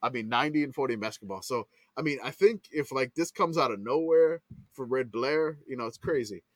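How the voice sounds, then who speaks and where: A man's voice: medium pitch, a little fast, no room sound. A man from Louisiana with a Louisiana accent, rambling casually through sports history on a podcast and laughing at himself. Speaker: podcast co-host. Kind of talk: casual chat.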